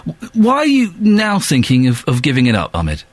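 A male radio presenter who reads news links briskly and questions guests informally. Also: fairly high pitch, wildly swooping pitch, no echo.

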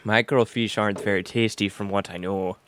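A man's voice: on the low side, fairly even intonation, fairly quick, fairly bright tone. A man co-hosting a podcast in casual, irreverent banter, joking and ranting with plenty of profanity and sarcasm. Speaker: podcast co-host.

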